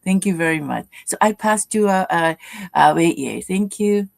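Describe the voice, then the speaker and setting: A Japanese woman in her fifties, speaking English as a second language, gives a halting personal testimony full of fillers and restarts as a guest speaker. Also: lowish voice, animated, gravelly, dry recording. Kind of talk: personal testimony at an online live session.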